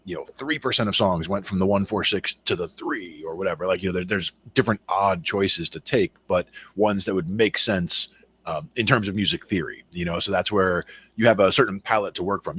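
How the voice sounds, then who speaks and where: A man with a very deep voice, co-hosting a casual chat about songwriting and explaining his ideas at length with many fillers. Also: brisk, a bit bright, breathy edge. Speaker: podcast co-host.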